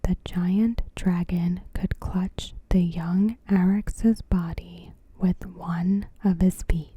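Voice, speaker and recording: Very slow, breathy whispering ASMR woman. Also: fairly low pitch, fairly even intonation, dull audio. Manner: soft-spoken, unhurried, careful phrasing. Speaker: ASMR creator. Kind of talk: ASMR, scripted episode recap narration.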